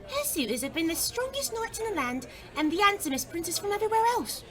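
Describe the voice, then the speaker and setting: Nagging medieval villager female actor, high voice with big swings, folksy gossip delivery, comedic audio drama. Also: sing-song, brisk. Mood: gossipy. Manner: folksy, colloquial.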